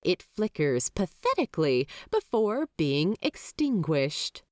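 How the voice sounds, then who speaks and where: A woman narrates a story slowly and deliberately, her lowish voice swooping wide between high and low as she tells it. She reads close to the mic in a dry, dead-sounding space, so every word comes through clean and near. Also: no room sound. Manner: expressive storytelling with wide swings of pitch. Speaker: podfic narrator. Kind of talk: reading fan fiction aloud.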